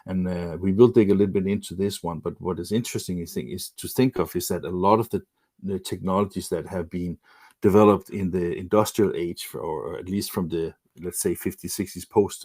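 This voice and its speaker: A Danish-accented man gives a lecture-style webinar in a low voice. He pauses often and restarts his sentences. The sound is dry and close to the mic. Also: mellow, no room sound. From Denmark. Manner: hesitant, many fillers and restarts. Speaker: webinar presenter, magazine editor.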